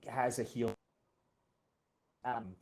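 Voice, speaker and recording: A man, a show host and writer, chatting casually in a halting way, repeating words and often adding "you know". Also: mid-range voice, steady tone, vocal fry, a little mellow. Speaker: series creator and show host. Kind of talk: casual conversation about a fiction series.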